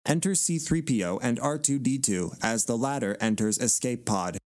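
A man narrates stage directions for an audio play. His medium-pitched voice is recorded dry, with no room around it. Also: moderate pace, no room sound.

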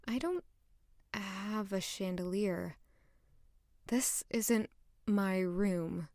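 An actress playing a flustered, hungover young woman in an audio drama, speaking hesitantly in a bright voice. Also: medium pitch, no room sound, studio quality. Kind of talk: dramatized first-person narration and dialogue.

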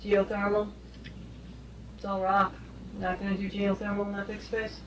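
A woman's voice: mid-range voice, completely flat delivery, moderate pace. Woman speaking from afar, noisy room. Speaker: board member at a review meeting. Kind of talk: informal meeting discussion. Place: small meeting room, indoors. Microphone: distant room camera. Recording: distant, noisy meeting-room recording.

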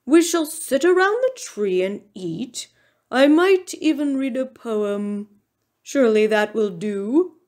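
A woman voicing a gruff, stern, pompous male bear who speaks formally in a children's storybook. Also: fairly high pitch, animated, slow, a little mellow. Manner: pompous, declamatory, formal wording. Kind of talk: children's storybook character reading.

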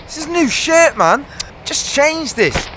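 A man's voice: very high voice, very animated, slow, heavy background noise, exaggerated voice. An actor playing an exasperated, complaining young guy in a radio drama, whiny and sweary. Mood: indignant. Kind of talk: radio play comic acting.

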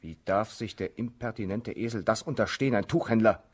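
An actor in a German radio drama playing an amorous young baron, scornful and coaxing, with a husky voice. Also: male voice, lowish voice, fairly quick, natural voice. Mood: indignant, coaxing.

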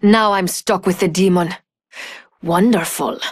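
A woman's voice: fairly low pitch, fairly even intonation, a little slow, slightly rough, dry recording, clean studio sound. A woman voicing a sultry, vengeful thief in a video game, cool, cutting and sardonic. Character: sultry, scornful, vengeful female thief, adult. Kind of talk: video game character voice lines.

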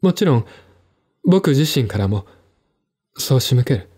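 A man acting a husky, melancholy, earnest gentleman. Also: mid-range voice, sing-song, a little slow. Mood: troubled pleading. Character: melancholy, earnest man pleading over a lovesick woman. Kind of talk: one-man radio drama reading.

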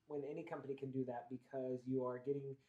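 A man's voice: medium pitch, level tone, fast talker, narrow, tinny audio, a crowd in the background. A man in his thirties chatting casually as a podcast host into a shared tabletop microphone in a small room. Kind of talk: casual interview chat. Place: small indoor room with blinds. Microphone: shared USB tabletop microphone. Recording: echoey room.

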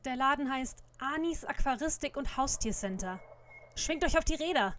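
A woman voicing a bossy teenage girl detective who is quick to scold, in an audio drama. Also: high voice, animated, a bit bright, a little hiss or hum. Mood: brisk.

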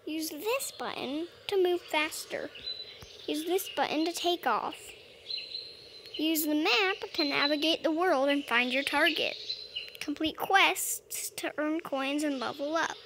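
High-pitched, slow-talking female gamer. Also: noisy background. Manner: playful, unhurried musing. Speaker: gamer role-playing as a dragon. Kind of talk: casual gameplay narration with playful role-play. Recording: game music behind the voice.

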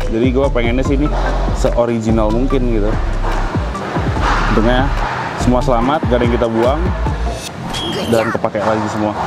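A young man chatting casually to viewers while showing a scooter in a workshop. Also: medium pitch. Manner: chatty, slangy, addresses viewers as guys. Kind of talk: casual walkaround vlog. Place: large indoor car workshop. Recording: loud background music over workshop.

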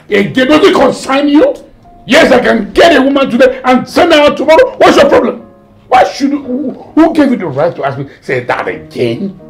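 An indignant, domineering father in a film drama. He blusters and repeats himself with a very mobile pitch, over clear background music. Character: domineering, irritable, indignant middle-aged father.

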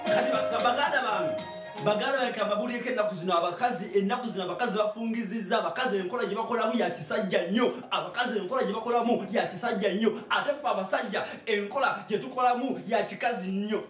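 A middle-aged man with a Ugandan accent, delivering an animated comic monologue to camera as a show host, often repeating phrases for emphasis. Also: high-pitched, very fast. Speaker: online comedy show host. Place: small indoor studio with hard walls. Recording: echoey room, distant microphone, music.

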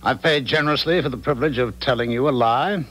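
An actor playing a smug, callous married philanderer in a 1970s radio drama, patronizing and cajoling. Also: male voice, mid-range voice, fast talker, a bit bright, close to an everyday voice, low-quality recording. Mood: smug, condescending. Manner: patronizing, cajoling, hesitant when cornered.

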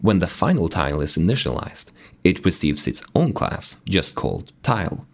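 A man with a raspy voice from being sick, calmly explaining code in a tutorial walkthrough. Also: low voice, moderate pace. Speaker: game dev tutorial host. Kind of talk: screen-recorded coding tutorial narration.

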